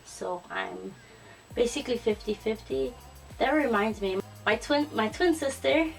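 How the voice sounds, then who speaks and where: A young woman chats casually to the camera, relaxed and full of ums and self-corrections. She sits a little back from the mic, so her voice sounds somewhat distant. Faint music plays softly underneath. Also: fairly high pitch. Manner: relaxed and chatty, frequent ums and restarts. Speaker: YouTuber. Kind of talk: casual tag video.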